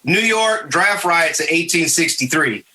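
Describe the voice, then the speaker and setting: Bright, forceful American man, higher-pitched voice. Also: animated, a little slow, low-quality recording. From Michigan, United States. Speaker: podcast host. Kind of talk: casual history podcast conversation.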